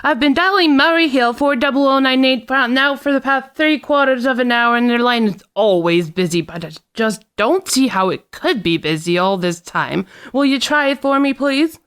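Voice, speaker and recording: A woman's voice: high voice, normal speed, hardly any pauses, fairly bright tone, clear, resonant tone. A woman playing a nervous, sickly, imperious wife in an agitated radio drama style. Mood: anxious, fretful. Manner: agitated, insistent, haughty. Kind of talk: old-time radio drama acting.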